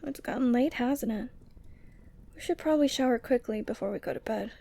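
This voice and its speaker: A woman acts out a doting yeti girl for an ASMR roleplay. The voice sits fairly high for a woman. She is tender and teasing, coaxing softly with breathy sighs and a lonely fondness. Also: a little fast. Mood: warm, chatty. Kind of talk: ASMR roleplay audio drama.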